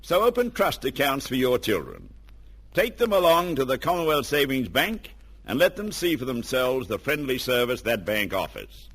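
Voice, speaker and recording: A man's voice: mid-range voice, sing-song, moderate pace, a bit bright, husky. An Australian man announcing on vintage radio, delivering a scripted sponsor message. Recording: old radio recording with music.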